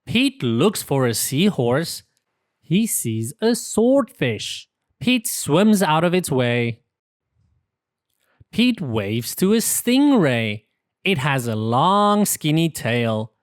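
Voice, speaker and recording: A woman narrates a children's picture book at a slow pace, carefully enunciating each short sentence. Her pitch sits on the low side and swings expressively up and down. The sound is dry and close. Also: very animated, dry recording. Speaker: children's story reader.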